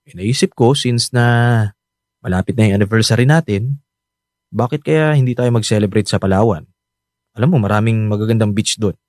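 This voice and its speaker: A man's voice: on the low side, fairly quick, long pauses, darkish tone, no echo, close to an everyday voice. A man acting an earnest young husband who feels neglected, with a Filipino accent, in a radio drama. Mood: easygoing, hopeful. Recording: dry studio drama over background music.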